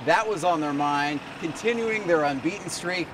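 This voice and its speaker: A man's voice: fairly high pitch, normal speed. A man in his thirties presenting a sports recap to camera on a handheld microphone outdoors. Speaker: team reporter and presenter. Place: outdoors in an empty stadium at night. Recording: distant-sounding mic, faint outdoor noise.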